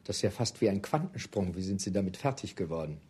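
A man interviewing in a formal, measured way, putting long, carefully worded questions. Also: low voice, animated, a little mellow, husky.

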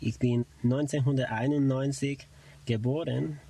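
A man's voice: mid-range voice, fairly even intonation, unhurried, gravelly, dark tone, slightly rough. A young man from Colombia, raised in Mexico, with as his language, introducing himself as a German learner and carefully searching for words. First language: Spanish. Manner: careful, searching for words with frequent "naja" fillers. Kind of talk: self-introduction monologue.

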